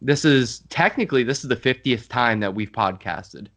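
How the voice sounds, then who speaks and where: A man's voice: mid-range voice, expressive, moderate pace, fairly bright tone. A man in his late twenties hosting a podcast interview in a loose, joking way, swearing freely. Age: 20s. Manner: loose, swearing, self-mocking. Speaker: podcast host.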